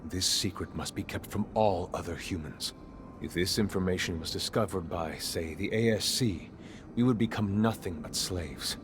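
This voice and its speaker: An actor playing a grave, world-weary, middle-aged master of a secret order in a video game, speaking in a measured, instructive way. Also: male voice, low voice, background noise, natural voice. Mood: grave, instructing.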